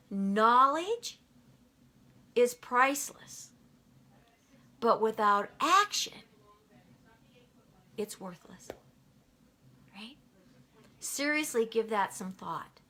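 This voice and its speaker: A woman's voice: fairly high pitch, bright, forceful tone. A woman giving a motivational talk, emphatic and repeating key lines for effect. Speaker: motivational speaker.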